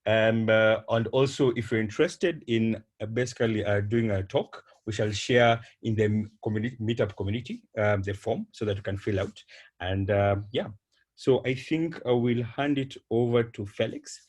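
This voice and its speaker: A man with a fairly low voice opens an online meetup and hands over to the speaker. He talks haltingly, with plenty of ums and uhs between phrases. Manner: hesitant, many ums and uhs. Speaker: meetup host. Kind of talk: event introduction. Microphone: video call.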